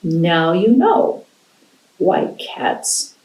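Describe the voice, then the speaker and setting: Slow, very expressive American woman storyteller. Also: fairly low pitch. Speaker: library storyteller. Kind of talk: animated folktale storytelling for children. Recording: roomy, echoing indoor sound.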